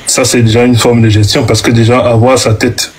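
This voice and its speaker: A man's voice: medium pitch, flat delivery, a little fast, a little mellow, slight background noise. Hesitant young man groping for words. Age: 20s. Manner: hesitant, searching for words, many fillers. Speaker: guest in debate. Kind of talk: heated debate.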